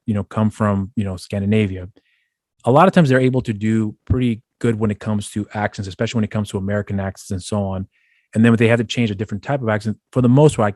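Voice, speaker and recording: Male interviewer, low voice, rapid long-winded questions. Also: a little mellow, no room sound, clean studio sound. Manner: long rambling questions with restarts. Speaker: podcast host.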